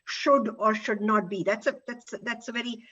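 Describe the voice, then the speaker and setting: A woman with an Indian accent moderating a panel in an unscripted, halting way, repeating words as she goes. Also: a little high, fairly bright tone, dull audio.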